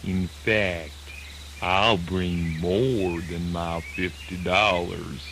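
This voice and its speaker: A man playing a dignified, sly old terrapin in a children's radio folk tale, with a Southern American accent and a deliberate, courteous, folksy manner. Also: low-pitched, steady tone, gravelly, heavily acted. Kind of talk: children's radio drama acting. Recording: noisy old radio drama recording.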